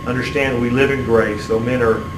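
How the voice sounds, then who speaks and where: A middle-aged man, a Baptist preacher speaking earnestly in halting phrases, as in a sermon or prayer. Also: mid-range voice. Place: small church sanctuary, at the pulpit. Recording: roomy, distant mic, steady room hum.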